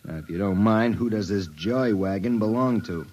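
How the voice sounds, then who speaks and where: An actor playing a wisecracking young private detective who also narrates, in a 1950s radio drama. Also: male voice, fairly low pitch, moderate pace, darkish tone, highs cut off, lightly acted. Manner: wisecracking, hard-boiled.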